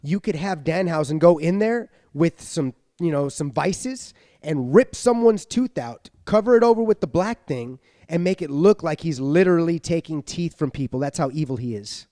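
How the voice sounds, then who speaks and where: A man co-hosting a casual wrestling podcast with his son, ranting in an animated way and swearing freely. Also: a little high, moderate pace, few pauses, no echo, studio quality. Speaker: podcast co-host, father. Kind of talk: casual chat.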